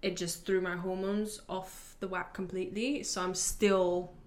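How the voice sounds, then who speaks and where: A woman's voice: on the low side, level tone, unhurried. A woman in her twenties who grew up in Latvia, talking openly and casually on a podcast, with frequent fillers and laughter. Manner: candid, chatty, laughs often, many fillers. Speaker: podcast co-host sharing her story.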